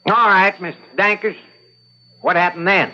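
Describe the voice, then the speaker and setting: A man's voice: sits high, steady tone, sharp tone. A man acting a methodical courtroom attorney, formal and persistent in questioning, in an old-time radio drama. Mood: probing, formal. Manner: formal, deliberate, probing. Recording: dull lo-fi vintage radio recording.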